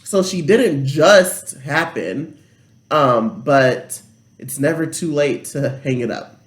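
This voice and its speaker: A man's voice: fairly high pitch, lively intonation, slow. A man co-hosting a casual podcast chat, blunt and opinionated. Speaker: podcast co-host. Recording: echoey room.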